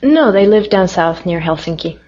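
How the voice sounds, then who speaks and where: Woman, young, lowish voice, chill n friendly, lots of um, kinda muffled lo-fi. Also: expressive, normal speed, warm tone. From Finland. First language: Finnish. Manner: friendly, easygoing, with frequent fillers. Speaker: interviewee. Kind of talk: casual interview answers.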